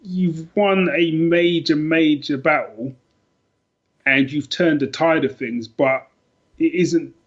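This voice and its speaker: A man's voice: on the high side, slow. A middle-aged British man chatting casually and reflectively as a podcast guest, often repeating and rephrasing as he thinks aloud. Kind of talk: casual chat about favourite books. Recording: dull, lo-fi podcast audio.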